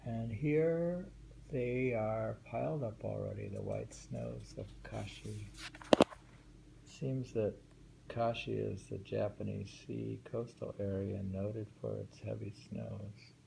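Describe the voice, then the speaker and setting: A man's voice: on the low side, steady tone, slow pace, creaky, dark tone, a little hiss or hum, rough recording. A middle-aged man reading translated poems aloud and musing about them in a casual, thinking-aloud way, often rereading lines. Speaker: poetry reader.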